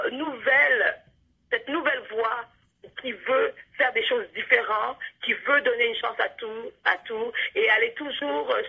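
A woman with a Canadian French accent giving reflective interview answers, earnest and halting, repeating words for emphasis. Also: on the high side, gravelly, husky, dull audio. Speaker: interview guest, playwright and storyteller.

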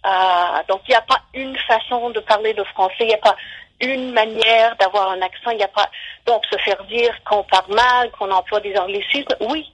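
Woman, professor, guest on radio, husky voice, hesitant, many fillers, tinny phone line. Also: a little high, fairly even intonation, normal speed, fairly bright tone. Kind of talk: radio interview, explaining.

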